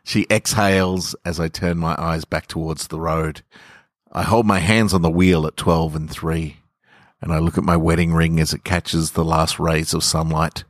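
Deep-voiced Australian man, podcast storyteller. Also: fairly even intonation, normal speed, no echo, studio quality. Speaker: podcast host and author reading own stories. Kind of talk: casual intro and measured literary story reading. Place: garage.

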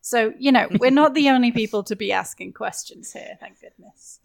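A woman's voice: on the high side, normal speed, gravelly. A woman chatting casually on a podcast about a video game, musing in a playful, jokey way. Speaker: podcast guest.

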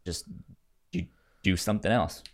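A man co-hosting a film podcast in casual chat, haltingly repeating words as he thinks. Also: low voice, steady tone, gravelly.

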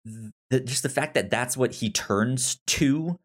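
A man co-hosting a podcast, talking casually about film in a halting, rambling way with many restarts. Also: medium pitch. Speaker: podcast co-host.

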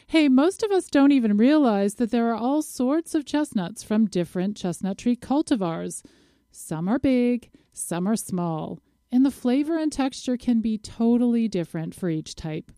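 A woman's voice: on the high side, lively intonation, normal speed, mellow, no echo, clean studio sound. A woman hosting a radio show, introducing topics and interviewing a guest.

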